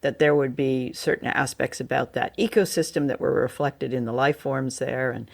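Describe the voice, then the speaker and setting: A woman's voice: extremely low pitch, moderate pace, creaky. Woman co-hosting a casual review podcast. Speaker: podcast co-host.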